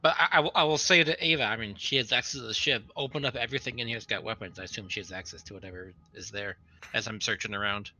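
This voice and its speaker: A man's voice: lowish voice, quick pace, bright, forceful tone, low-quality recording. A man talking casually as a player in a tabletop roleplaying game.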